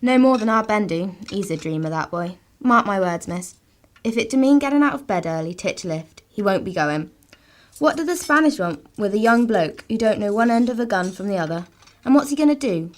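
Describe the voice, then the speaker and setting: A woman's voice: medium pitch, lightly acted. A woman playing a plain-spoken, wry 1930s village housemaid in a radio play, with a rustic Gloucestershire accent. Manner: rustic, matter-of-fact.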